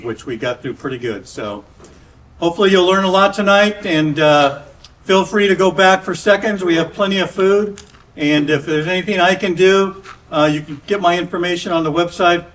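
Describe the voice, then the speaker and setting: A man speaking as a city council member and welcoming people to a neighborhood meeting in a church hall. His voice is slightly high, and he talks loosely, with fillers and restarts. He is picked up from a distance, with faint room noise behind him. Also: fairly bright tone. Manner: casual, with many fillers and restarts. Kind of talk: welcoming remarks at a community meeting.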